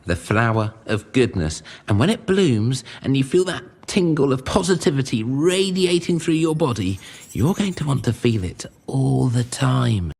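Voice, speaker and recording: A husky, earnest motivational preacher type. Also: male voice, medium pitch, lively intonation, moderate pace, a little mellow. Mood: earnest, uplifting. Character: earnest motivational man coaxing villains toward goodness.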